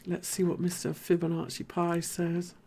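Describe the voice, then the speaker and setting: Woman, deep voice, host, casual live analysis, chatty, thinking aloud. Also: monotone, moderate pace, mellow, a little hiss or hum.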